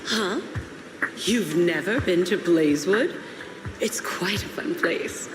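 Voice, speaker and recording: A woman playing a courteous, helpful flower shopkeeper in a video game, speaking in a polite, gentle, explanatory way. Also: fairly low pitch, animated, slow pace, noisy background. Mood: friendly, helpful. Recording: roomy sound with clear music behind.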